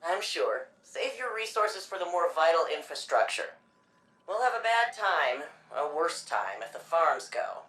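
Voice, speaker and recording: A spirited, nerdy young archivist in a video game, chatty and playful. Her voice sits slightly low but moves a lot. It has a bright, forward tone. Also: very animated, unhurried, poor audio. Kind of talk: video game dialogue and narration.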